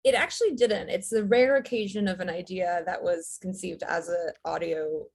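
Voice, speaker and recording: A woman in her thirties, a writer-director guest, chatting in a relaxed way with lots of "um"s. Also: fairly low pitch, moderate pace, breathy edge. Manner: relaxed, fillers, light laughs. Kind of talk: casual interview chat.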